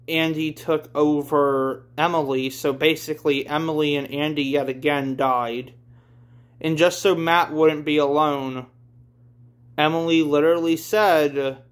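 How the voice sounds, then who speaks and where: A man hosting a casual read-along of his own story, talking in a blunt, offhand, rambling way. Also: on the high side. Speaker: host reading his own manga aloud.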